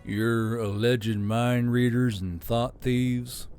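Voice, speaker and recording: A man voicing a tired, blunt human soldier who murmurs in a low, wry way. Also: little pitch movement, slow pace, a little mellow, soft background music, lightly acted.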